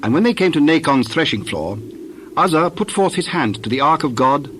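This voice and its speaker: A man narrating scripture from a script in a measured, formal manner. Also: on the high side, expressive, fairly bright tone, a little hiss or hum.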